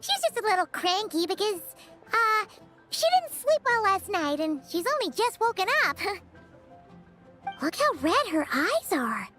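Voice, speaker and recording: A woman voicing a tiny, cheerful, excitable childlike companion creature in a video game, squeaky and chatty. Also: unhurried, soft background music, full character voice. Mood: excited, covering up. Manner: bubbly, exclamatory, refers to self in third person. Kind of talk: English video game voice acting.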